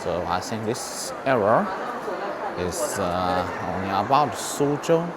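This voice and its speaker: A man from China with a Chinese accent narrates an informal museum tour vlog in halting, simple non-native English. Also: on the low side, sing-song. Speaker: vlogger giving a museum tour. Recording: clear background noise, on-location ambience.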